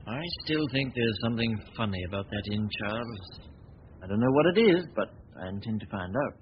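An actor playing a confident, suave gentleman detective in a 1940s radio drama, brisk and clipped, on an old recording. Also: fairly low pitch, animated, lightly acted. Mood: thoughtful. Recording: dull, noisy 1940 radio recording.

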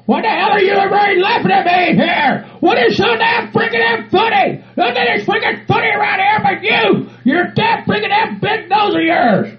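A man's voice: very high-pitched, animated, brisk, vocal fry, some chatter behind, full character voice. A man playing an agitated, exasperated hillbilly with a Southern US accent, ranting repetitively in a comedy skit. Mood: exasperated, angry. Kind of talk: improvised comedy skit in character voices. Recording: muffled lo-fi recording with faint music.

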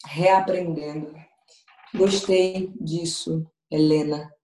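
A woman speaks to an online audience over a video call. Her voice sits a little low and mellow, and her tone stays conversational as she pulls in their replies. The room around her echoes. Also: steady tone, drawn-out words. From Salvador, Bahia, Brazil. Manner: conversational, addresses audience directly, reads out chat replies. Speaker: guest speaker in an online event. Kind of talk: interactive online talk.

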